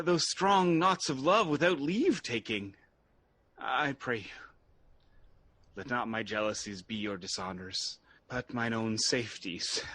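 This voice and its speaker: Husky, slow, impassioned young prince, acted by a man. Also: mid-range voice, bright, forceful tone. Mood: wary, halting. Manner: halting, theatrical, impassioned delivery. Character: young exiled prince feigning depravity, anguished and fervent. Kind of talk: Shakespearean radio drama acting.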